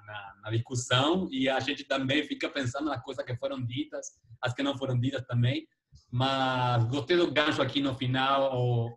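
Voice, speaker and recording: A man's voice: medium pitch, a bit bright. A man with a Spanish accent in Portuguese, giving informal, reflective closing remarks and searching for his words. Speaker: filmmaker, guest speaker. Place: video call.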